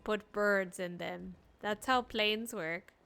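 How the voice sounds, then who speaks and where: A woman streaming a game with casual live commentary, playful, sarcastic and animated in the way she talks. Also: mid-range voice, takes it slowly, creaky, smooth. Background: game music.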